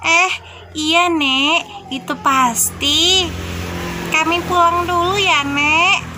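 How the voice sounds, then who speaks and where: A woman's voice: extremely high pitch, fairly even intonation, drawn-out words, background noise, voice pushed up, heavily acted. A woman voicing a greedy, spoiled young girl in a storybook tale, whining and complaining. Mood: greedy, eager. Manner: whiny, complaining, exclaiming.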